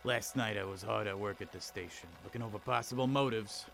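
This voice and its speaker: A man's voice: lowish voice, brisk, creaky, noisy background. A man playing an earnest, brooding police detective with a poetic streak in an audio drama. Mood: matter-of-fact. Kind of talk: audio drama acting with noir-style diary narration.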